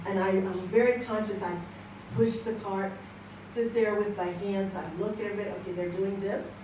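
A woman's voice: medium pitch, a little mellow. A woman in her sixties with an American accent, telling personal stories to a conference audience on a handheld mic, chatty and laughing often. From United States. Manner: chatty, laughs often, acts out quoted exchanges. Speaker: conference speaker. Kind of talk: personal testimony, storytelling. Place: church hall with audience. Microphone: handheld mic, seated, filmed from across the room. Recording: echoey hall from far off with background noise.

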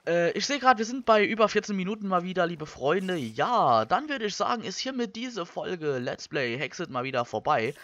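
A young man from Germany, giving casual Let's Play commentary and thinking out loud in colloquial language. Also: sits high, big pitch swings, moderate pace, hardly any pauses, no room sound. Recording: slightly dull, lo-fi microphone.

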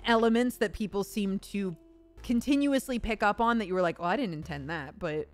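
A woman's voice: mid-range voice, fairly quick, faint music behind. A woman interviewing a guest in a casual live stream chat.

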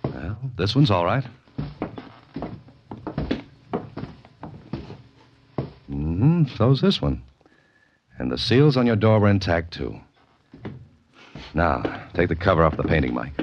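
An actor playing a cool, wisecracking amateur sleuth in a 1940s radio mystery, with a deadpan, clipped delivery. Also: male voice, low voice, measured pace, pauses often, noisy background, heavily acted. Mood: wry, brisk. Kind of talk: 1940s radio mystery acting, first-person narration. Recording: muffled old radio recording with music.

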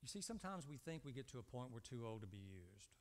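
A man's voice: mid-range voice, very animated, fast. A man in his fifties preaching a sermon emphatically, posing short rhetorical questions and repeating himself. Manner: asks the congregation short rhetorical questions and answers them. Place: indoor church stage at a lectern. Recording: reverberant hall sound.

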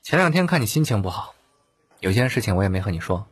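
A man's voice: lowish voice, big pitch swings, fast, husky, dry recording, pitched above the performer's own voice. A man voicing a resigned, hardworking young male office team leader, weary and earnest, in an audiobook. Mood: hesitant, earnest.

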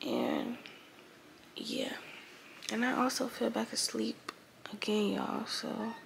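Teen girl vlogger, just woke up, raspy and unhurried, phone held close in her bedroom. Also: a little high, wildly swooping pitch, a little hiss or hum, low-quality recording. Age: teens. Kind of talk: casual morning vlog talk. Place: bedroom, indoors. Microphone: phone held close at arm's length.

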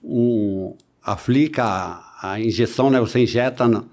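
A man in his forties with a Brazilian Portuguese accent and a medium-pitched voice tells his story casually. He speaks haltingly, doubling back on words and tagging his phrases as he goes. He is close on a studio microphone. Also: darkish tone. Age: 40s. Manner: halting, repeats words, peppered with "né" tags. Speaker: podcast guest, body-modification enthusiast. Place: small podcast studio. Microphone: close studio microphone on boom arm.

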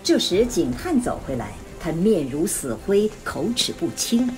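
A woman narrates a radio drama from a script. Her voice is slightly low with lively, varied intonation. Music plays clearly behind her. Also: unhurried.

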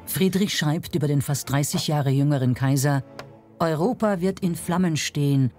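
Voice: medium pitch, moderate pace, darkish tone, faint music behind. A narrator reading a scripted historical documentary.

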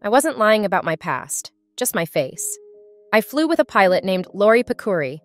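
A woman's voice: medium pitch, very animated, moderate pace, faint music behind, close to an everyday voice. A woman voicing an ashamed, sorrowful warplane girl in a scripted drama, earnest and confessional. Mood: sorrowful recounting. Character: ashamed, battle-scarred female warplane, sorrowful and self-loathing.

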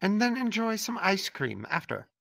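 A man playing a calm, sinister male therapist who urges his patient toward cannibalism. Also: high voice, normal speed, gravelly, no echo, clean studio sound, heavily acted. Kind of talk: comedy skit acting.

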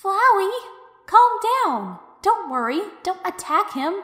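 A woman playing an earnest, soothing peacemaker in a dramatic dub, pleading for calm. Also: very high voice, slow pace, exaggerated voice. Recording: echoey reverberant room.

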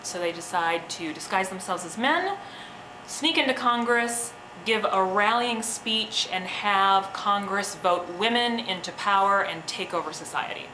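A woman's voice: mid-range voice, a little slow. A woman, a theatre director, explaining a play in an interview. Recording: echoey room, clear background noise.

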